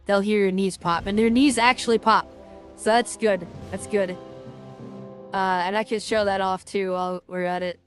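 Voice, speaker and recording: A woman talking through her game as a streamer, rambling and filling her talk with "like" and restarts, with a loud voice. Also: medium pitch, steady tone, moderate pace, faint music behind. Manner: rambling, lots of fillers and restarts. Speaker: gaming streamer. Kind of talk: casual game commentary.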